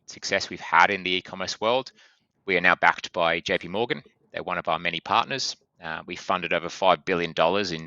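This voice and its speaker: A man with a strong Australian accent, a guest on a business podcast, explaining in a brisk way with many fillers. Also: sits low, bright, forceful tone, no echo, muffled recording. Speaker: guest from a funding company. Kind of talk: podcast interview.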